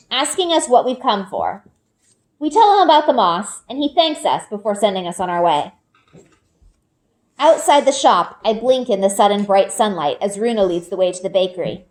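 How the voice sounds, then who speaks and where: A woman reading a children's book aloud in an expressive storytelling style. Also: mid-range voice. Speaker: read-aloud reader. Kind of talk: expressive children's book narration, first person. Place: group read-aloud with elementary pupils.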